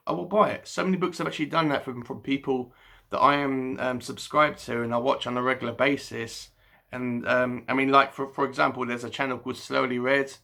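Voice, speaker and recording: A man chatting casually to camera about books at home in the evening, halting with frequent ums, restarts and a slight stutter. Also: mid-range voice, creaky, pushed and bright. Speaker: book vlogger. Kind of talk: casual unscripted chat to camera.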